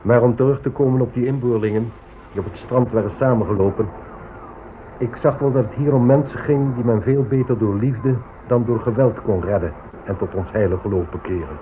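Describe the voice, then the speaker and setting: An actor playing a proud, pious explorer admiral giving a stately, formal report in a radio drama. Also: male voice, mid-range voice, a little fast, close to an everyday voice. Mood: self-assured. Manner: stately, declamatory. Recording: phone-like old radio recording, faint noise.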